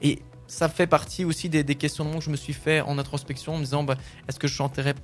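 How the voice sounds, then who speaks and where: A man's voice: fairly high pitch, little pitch movement, quick pace, soft background music. A French-speaking man, a singer-songwriter, answering interview questions casually with many fillers and restarts.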